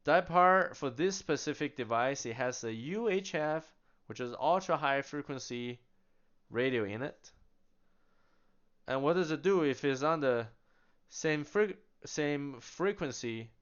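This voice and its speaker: A man with a smooth, resonant voice talks through a product demo in a relaxed, chatty way. He keeps posing questions and answering them himself, with small laughs along the way. The recording is slightly dull and lo-fi. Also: fairly high pitch, a little slow. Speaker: product demonstrator. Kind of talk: casual product demonstration.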